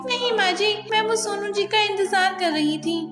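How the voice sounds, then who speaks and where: A shrill young cartoon bride, girlish and dramatic, as a woman puts her on, her voice jumping way up high. It rings through an echoey room with a long tail and music underneath. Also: moderate pace. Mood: excited.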